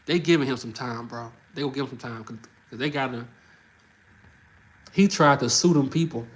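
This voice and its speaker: A man's voice: mid-range voice, brisk. A man, chatting loosely and energetically on a livestream and often saying "bro". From Louisiana. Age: 30s. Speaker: livestream host. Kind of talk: casual livestream chat, reacting to comments.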